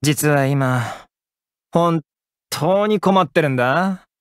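An actor playing a friendly, caring young housekeeper in an anime-style game, speaking casually and expressively with gentle attentiveness. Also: male voice, fairly high pitch, slow, smooth, dry recording, studio quality. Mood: troubled. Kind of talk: Japanese anime game character voice lines.

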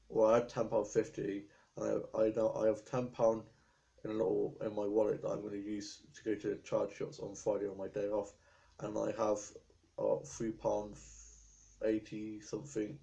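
A man's voice: on the low side, gravelly, warm tone, highs cut off. A chatty young man from England rambling to a webcam about his week, with a slight sore throat. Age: young adult. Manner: chatty, rambling, stream of lists. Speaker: vlogger. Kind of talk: casual chat to camera. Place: small indoor room with appliances. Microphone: webcam at desk.

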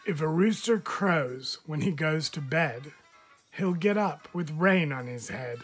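A man, a teacher, reading children's animal poems aloud in a measured, expressive storytelling manner. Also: fairly high pitch, husky, faint music behind.

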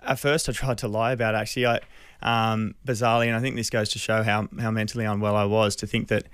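An Australian man in his thirties telling a personal story in an interview, earnest, hesitant and measured, close on a studio mic. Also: lowish voice, flat delivery, a bit bright, clear, resonant tone, dry recording. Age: 30s. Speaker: radio interview guest. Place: small radio studio. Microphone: close studio microphone.

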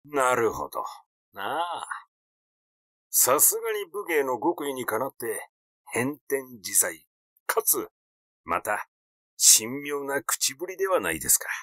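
A man's voice: mid-range voice, sing-song, takes it slowly, long pauses, sharp tone, no echo, clean studio sound, heavily acted. A man voicing a glib, cheeky, eccentric male character in an audiobook, brash and colloquial in a teasing way. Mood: sly, teasing.

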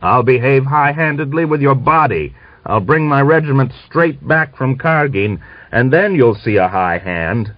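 A man's voice: mid-range voice, animated, measured pace, dry recording, voice pushed up, natural voice. Man acting a headstrong, furious Cossack commander. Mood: defiant anger. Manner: curt, challenging. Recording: dull lo-fi audiobook recording.

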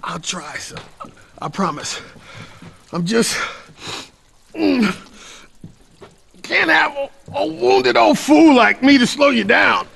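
Gravelly, weary wounded older man. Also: extremely high pitch, very animated, takes it slowly, pauses often, fairly bright tone, a little hiss or hum, full character voice. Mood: pained, pleading. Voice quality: gravelly, husky. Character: wounded older man, weary, gruff, self-doubting survivor. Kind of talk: post-apocalyptic audio drama acting.

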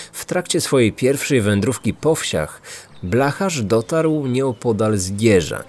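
Lowish-voiced male true-crime narrator over music. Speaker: podcast host and narrator.

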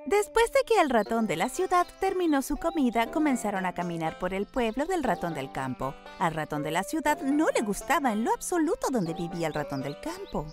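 A woman narrating a scripted children's story with an expressive storyteller's delivery. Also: on the high side, brisk, hardly any pauses, over music.

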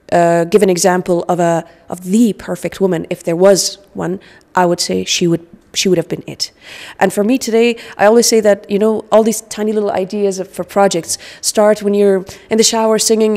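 A woman in her forties from Afghanistan giving earnest, halting interview remarks into a handheld microphone. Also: medium pitch, a little mellow, big-room sound. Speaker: interview guest, humanitarian. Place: indoor lounge with sofas.

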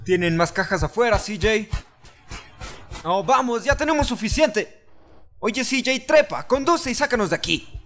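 A man's voice: high-pitched, animated, moderate pace, long pauses, fairly bright tone, soft background music. A man with a Latin American Spanish accent voicing a cocky, abrasive young gangster in a fan-dubbed crime game, brash, slangy and boastful. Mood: bossy, agitated. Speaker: fan dub voice actor.

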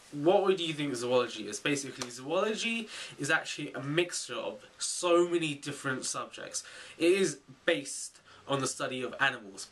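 Bright, chatty young man vlogging. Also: medium pitch, far from the mic, a little hiss or hum. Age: 20s. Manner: chatty and animated, many fillers and self-corrections. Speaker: vlogger, zoology student. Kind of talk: casual vlog chat to camera. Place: small bedroom, indoors.

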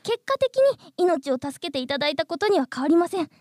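A woman's voice: very high-pitched, big pitch swings, brisk, darkish tone, no room sound, studio quality. A woman voicing a polite, insistent, earnest mosquito in human form in a radio comedy drama. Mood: grateful, eager.

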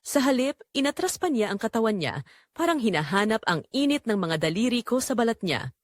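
A woman reading a scripted first-person dramatic story in a measured, expressive storytelling manner. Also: a little high, dry recording, studio quality. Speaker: narrator.

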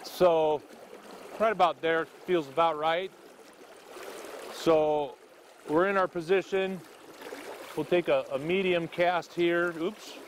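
Middle-aged man, fishing guide, patient step-by-step teaching, slightly high voice, outdoors on a river, lapel mic. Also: steady tone, slow pace, pauses often, slight background noise. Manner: patient, explains step by step, checks in with "okay?". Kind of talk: on-the-spot fly-casting instruction.

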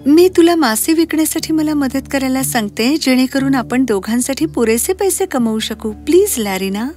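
A woman playing a gentle, caring young elder sister in a children's cartoon fairy tale, with an earnest, apologetic manner. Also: high voice, expressive, moderate pace, soft background music. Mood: pleading. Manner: soft-hearted, earnest, apologetic.

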